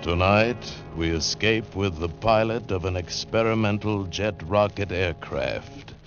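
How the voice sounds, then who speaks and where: A man's voice: fairly low pitch, lightly acted. A man announcing an old radio adventure series in a portentous, formal, dramatic narration style. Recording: muffled old radio broadcast.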